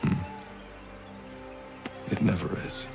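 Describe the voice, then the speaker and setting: An actor playing a weary, gruff but consoling comrade in a video game, measured and subdued, with a gravelly voice. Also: male voice, music in the background, close to an everyday voice. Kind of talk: dramatic video game acting.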